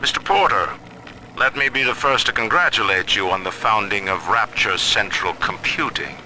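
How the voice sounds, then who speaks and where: Game villain: imperious middle-aged tycoon, low-voiced and sweeping in intonation, pompous menace, on a noisy old diary recording. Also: male voice, lively intonation, sharp tone. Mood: formal, proud. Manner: pompous, deliberate, menacing formal diction. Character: stern, proud, autocratic male tycoon ruling his own city. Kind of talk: dramatic recorded diary monologue, formal oratory.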